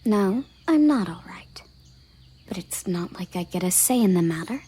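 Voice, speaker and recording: A woman playing a stern, haughty elven guard captain in an audio roleplay, with a soft, breathy, husky voice and a curt, condescending manner. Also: medium pitch, expressive, normal speed. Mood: guarded, troubled. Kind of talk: audio roleplay addressed to the listener.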